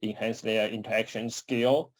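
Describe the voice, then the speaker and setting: Guy from taiwan giving a slide talk on a webcam, lowish voice, lots of uh and restarts, dry sound. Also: fairly even intonation, no echo, rough recording. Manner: halting, many uh fillers and restarts. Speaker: conference presenter. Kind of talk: conference talk over slides. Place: home office or desk, indoors. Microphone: webcam on a video call.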